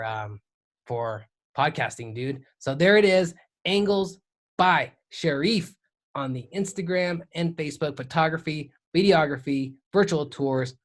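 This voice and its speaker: A man hosting a podcast in a hyped, slangy, enthusiastic way. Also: fairly high pitch. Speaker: podcast host. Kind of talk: casual podcast chat.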